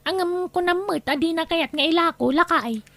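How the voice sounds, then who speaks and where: An actress playing a scheming wife who talks in a coaxing, sly way. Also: female voice, very high-pitched, moderate pace, bright, forceful tone, no echo, full character voice. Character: scheming wife of a greedy man. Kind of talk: radio drama acting.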